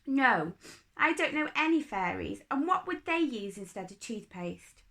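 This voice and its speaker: A woman's voice: a little high, big pitch swings, a little slow, a bit bright, heavily acted. A woman voicing a curious, earnest young girl in a children's story, wide-eyed and full of questions. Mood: protesting.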